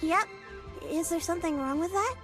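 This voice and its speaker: A woman's voice: very high voice, moderate pace, music in the background. A woman voicing a cheerful, polite young girl in a video game who looks after her grandmother. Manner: bright and upbeat, polite.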